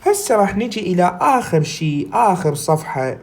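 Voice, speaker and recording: A woman with an Iraqi Arabic accent giving a recorded lecture. She reads English text slowly, then explains it in Arabic, often asking and answering her own questions. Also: fairly low pitch, big pitch swings, a little mellow.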